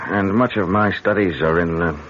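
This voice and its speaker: An actor playing an earnest, uneasy professor in an old-time radio drama, speaking hesitantly on a muffled recording. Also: male voice, low voice, steady tone, normal speed, fairly bright tone, a little hiss or hum. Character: earnest, uneasy college professor husband on the run.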